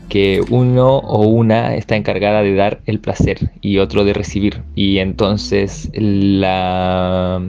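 A man's voice: on the low side, dark tone. A man giving a reflective spoken monologue as a guest contributor, hesitantly searching for his words. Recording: music bed under the voice.

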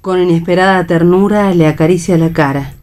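A woman's voice: fairly low pitch, fairly even intonation, fairly quick, darkish tone. A woman with an Argentine accent reading stage directions as scripted narration for radio theatre.

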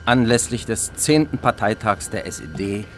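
An actor playing a sombre investigator who narrates a ship inspection in measured, literary radio drama style. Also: male voice, lowish voice, lively intonation, a little hiss or hum. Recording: loud music bed under voice.

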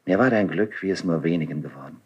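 Doomed idealistic nobleman, husky, low-pitched, declamatory, solemn, fervent, 1970s radio play verse. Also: animated, fast, darkish tone, muffled recording. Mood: solemn, confessional. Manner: declamatory, solemn, emphatic delivery. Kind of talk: 1970s German radio drama, classical stage verse.

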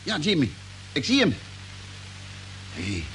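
A man playing a steady, easygoing spaceship crewman, terse and matter-of-fact, in old radio drama style. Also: on the high side, slow, exaggerated voice. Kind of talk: 1950s Dutch radio drama acting. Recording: old, faintly noisy radio recording.

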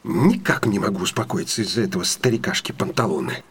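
An actor playing an indignant, haughty, grumbling doctor with pompous sarcasm, in radio theatre comedy. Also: male voice, lowish voice, lively intonation, fast talker, husky. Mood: indignant, scornful.

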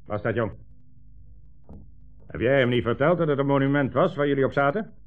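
An actor playing a menacing, sarcastic communist commissar in a 1960s radio drama, speaking imperiously and with contempt. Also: male voice, mid-range voice, brisk, close to an everyday voice. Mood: threatening. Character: menacing communist commissar, sneering, bullying, coldly sarcastic interrogator. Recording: tinny, band-limited old radio recording, faint music.

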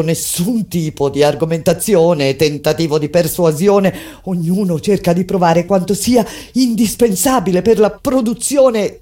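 A woman delivering a dramatic stage monologue of historical testimony, grave and emphatic, pausing between short phrases. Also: fairly low pitch. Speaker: stage actress narrating. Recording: loud music under the voice. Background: prominent instrumental music.

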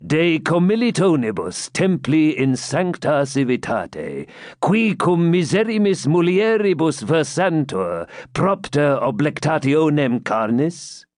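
A man voicing a severe elderly Grand Master of a holy order, speaking slowly and forcefully as he accuses. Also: on the high side, big pitch swings, no room sound, clean studio sound, pitched above the performer's own voice. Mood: stern. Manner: slow, forceful, accusing delivery.

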